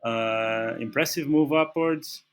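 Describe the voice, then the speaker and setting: A man's voice: mid-range voice, takes it slowly, clear, resonant tone, soft background music. A man with a Portuguese accent, a native Portuguese speaker, hosting a trading analysis and talking through charts with many fillers and restarts. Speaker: trading channel host. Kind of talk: screen-share market analysis.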